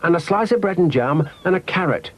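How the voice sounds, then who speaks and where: A man's voice: high-pitched, lively intonation, fairly quick, pitched above the performer's own voice. A man voicing an earnest, insistent little girl full of secret plans in a children's story. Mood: earnest, bossy.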